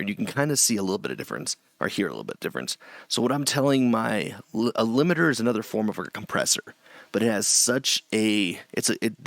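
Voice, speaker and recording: A middle-aged man with a low voice gives a loose, chatty screen-share tutorial from a small home room, often restarting his sentences. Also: vocal fry, no room sound. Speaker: streamer teaching audio setup.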